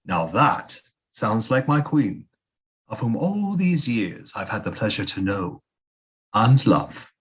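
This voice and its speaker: A man acting a devoted, cryptic ghost of an old seer in an audio play, husky and courtly. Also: lowish voice, big pitch swings, slow pace, exaggerated voice. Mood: devoted, encouraging. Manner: courtly, solemn, archaic diction. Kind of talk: theatrical audio drama acting.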